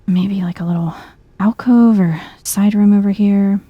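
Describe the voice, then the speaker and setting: A soft-spoken woman giving chill, casual game commentary in an ASMR vibe, murmuring as if to herself. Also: medium pitch, unhurried, husky, no room sound, highs cut off. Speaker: gameplay streamer.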